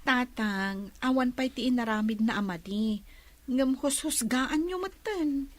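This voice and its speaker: High-pitched, earnest young woman acted by a woman. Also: measured pace. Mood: pleading. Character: devoted, caring young woman in love. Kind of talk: radio drama acting.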